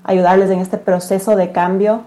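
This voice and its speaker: Female guest, business representative, soft-edged dark timbre, mid pitch, casual explanatory delivery. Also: flat delivery, moderate pace. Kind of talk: casual explanatory interview talk.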